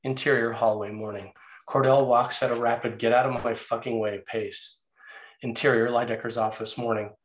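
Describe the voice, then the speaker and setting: A man's voice: mid-range voice, fairly even intonation, moderate pace, poor audio. A man reading stage directions as the narrator of a screenplay table read.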